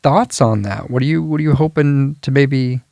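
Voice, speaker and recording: A man's voice: mid-range voice, normal speed, creaky, a little mellow, dry recording. A man hosting a podcast, asking his guest easygoing questions. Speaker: podcast host. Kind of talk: casual interview.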